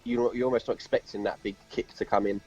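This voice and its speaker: Husky man, lo-fi call. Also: medium pitch, little pitch movement, fast, a little mellow. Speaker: band member being interviewed. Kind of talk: casual chat. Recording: lo-fi call, faint music behind.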